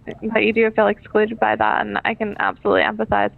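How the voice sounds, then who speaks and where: A woman's voice: mid-range voice, completely flat delivery, fast talker, creaky. A woman working in customer service, speaking politely and hesitantly over the telephone in an apologetic way. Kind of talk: customer service phone call. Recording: muffled, band-limited phone line.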